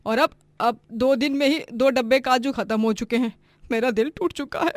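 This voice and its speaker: A woman playing a domineering, greedy, theatrical middle-aged mother-in-law in an animated moral story. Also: a little high, normal speed, dry recording, lightly acted. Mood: dramatic lament. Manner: theatrical, scolding, bossy.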